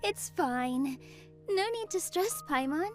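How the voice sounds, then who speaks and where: A woman playing a gentle, modest young female theater dancer in an anime-style video game, speaking politely and earnestly. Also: very high voice, animated, slow pace, heavily acted. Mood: cheerful, kind. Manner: soft-hearted, polite, earnest. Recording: music behind game dialogue.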